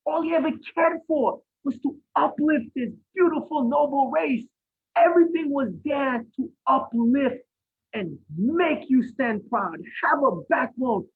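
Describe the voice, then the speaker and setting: Haitian-born man, husky, fiery lecture over a tinny call line, high for a man. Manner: preacherly, rising to near shouts, rhetorical questions. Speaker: guest speaker. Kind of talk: impassioned lecture. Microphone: video call. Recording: phone-like line from an online event.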